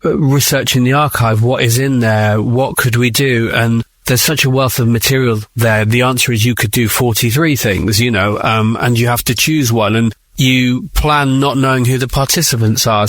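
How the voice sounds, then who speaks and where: A man's voice: medium pitch, dry recording. Thoughtful man, dry close recording. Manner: thoughtful, enthusiastic. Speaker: writer being interviewed. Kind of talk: conversational radio interview.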